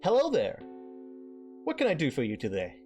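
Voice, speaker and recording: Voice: medium pitch, wildly swooping pitch, moderate pace, darkish tone, soft background music. A performer voicing a friendly young male nurse in a visual novel, breezy, welcoming and jokey. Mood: cheerful welcome.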